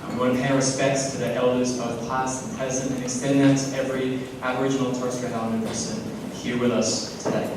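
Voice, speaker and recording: A man's voice: medium pitch, little pitch movement, quick pace, hardly any pauses. A man with a Hong Kong accent hosting a conference, hesitant with many fillers and self-deprecating jokes. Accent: Hong Kong English. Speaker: conference host. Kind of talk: emcee introductions with jokes. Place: conference hall. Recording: echoey hall, distant mic, noise.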